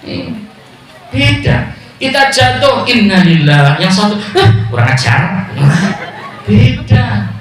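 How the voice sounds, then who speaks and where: A man with a Javanese accent preaching a sermon at a village religious gathering, mixing jokes with loud calls and low asides. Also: sing-song, takes it slowly, long pauses, faint music behind. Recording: echoey PA sound from far off.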